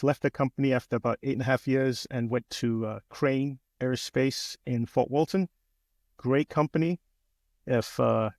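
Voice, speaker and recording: Gravelly-voiced man from Nigeria. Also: mid-range voice, dry recording, studio quality. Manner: relaxed, chatty, frequent "um" and "you know". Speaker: guest, business owner. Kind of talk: casual interview storytelling.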